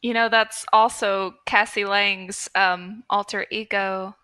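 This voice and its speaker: A woman's voice: medium pitch, flat delivery, slow, bright, forceful tone, clean tone. A woman co-hosting a casual movie review chat with informal, teasing banter. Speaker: podcast co-host.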